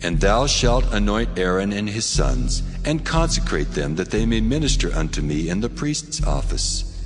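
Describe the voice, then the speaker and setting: Deep, reverberant voice of God. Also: male voice, very animated, moderate pace. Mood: solemn, commanding. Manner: solemn, declamatory. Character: God, solemn commanding male divine voice. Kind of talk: dramatized scripture reading. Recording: long hall reverb, music underneath. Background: music.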